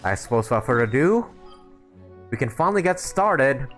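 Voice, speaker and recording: A man's voice: mid-range voice, very animated, a little fast. A man streaming a video game live, chatting casually and often rephrasing himself as he comments. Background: game music.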